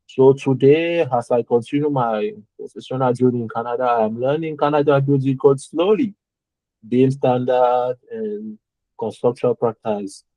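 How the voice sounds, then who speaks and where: A man's voice: medium pitch, normal speed, dark tone, dry recording, poor audio. A young man from Cameroon, whose first language is French, speaking English with a French-speaking Cameroonian accent. He answers interview questions carefully, searching for words and repeating phrases. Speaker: architect guest.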